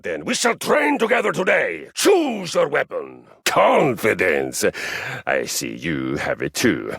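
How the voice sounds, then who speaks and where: Game role: a pompous, fight-hungry male Viking exercise machine; low, husky, gravelly, slow and booming. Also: a bit bright, no echo, clean studio sound, full character voice. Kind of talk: video game voice acting.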